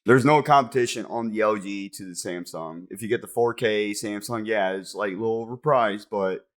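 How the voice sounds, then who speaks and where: Male co-host, low voice, excitable, laughs easily, casual chat. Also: moderate pace. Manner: excitable, voice jumps around when excited, laughs often. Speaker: podcast co-host.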